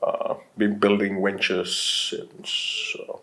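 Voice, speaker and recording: A low-pitched man from Qatar in his late thirties talks through an interview in a loose, rambling way. He circles back on his sentences, drops in fillers and laughs easily as he goes. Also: monotone, slow, a bit bright, rough recording. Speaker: entrepreneur guest. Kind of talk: casual interview conversation.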